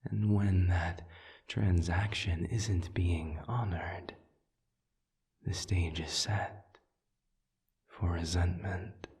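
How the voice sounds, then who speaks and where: Guy doing a smooth demon for audio rp, real deep n whispery, super slow, patient and soothing, kinda formal wording. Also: steady tone, darkish tone, exaggerated voice. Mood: calm, analytical. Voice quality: breathy, half-whispered, low. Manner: measured, deliberate, formal phrasing with long pauses. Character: suave, patient male demon offering soothing counsel. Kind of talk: audio roleplay, intimate one-sided dialogue.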